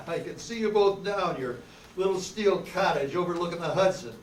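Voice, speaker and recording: A man's voice: high-pitched, normal speed, lightly acted. A man playing a sardonic, wisecracking hard-boiled detective in an amateur old-time radio play. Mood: wry. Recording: echoey, distant, noisy room recording.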